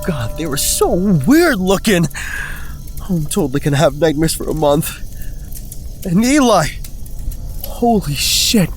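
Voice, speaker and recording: A frazzled, self-absorbed young vlogger played by a woman rattles off modern slang in a horror comedy audio drama. The delivery is dramatic and very lively in pitch, over faint music. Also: measured pace, pauses often. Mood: panicky relief.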